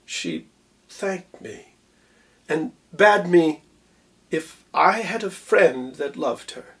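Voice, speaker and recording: A man playing a proud, dignified general in a theatrical Shakespeare monologue, speaking in a measured, stately way with long pauses and hushed phrase endings. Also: fairly high pitch, expressive, recorded from a distance, full character voice. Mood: hushed, wondering.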